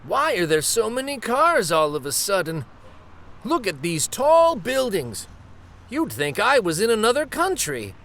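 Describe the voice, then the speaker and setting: A man voicing a gentle, nostalgic old grandfather from a picture book, musing and a little hesitant. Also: sits high, wildly swooping pitch, a little slow, vocal fry, a little hiss or hum, pitched above the performer's own voice, exaggerated voice. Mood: bewildered, grumbling. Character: gentle, nostalgic old Japanese grandfather, retired street storyteller.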